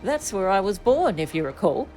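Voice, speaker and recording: A woman voicing an earnest, expressive young princess from a video game. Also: mid-range voice, moderate pace, faint music behind, lightly acted. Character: earnest, excitable young princess afraid of flying. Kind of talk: video game dialogue read aloud.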